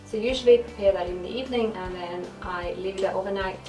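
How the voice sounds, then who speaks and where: A woman's voice: mid-range voice, fast talker. A woman talks through a recipe in a conversational tutorial style. The recording is roomy and a little distant from the mic. Music plays underneath her voice. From Lithuania. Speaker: home baker and video host.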